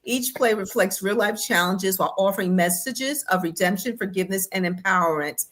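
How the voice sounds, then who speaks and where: A woman's voice: mid-range voice, fairly quick, fairly bright tone. An American woman from the East Coast, speaking as a show co-host and giving introductions. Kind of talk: upbeat show introduction and guest introduction.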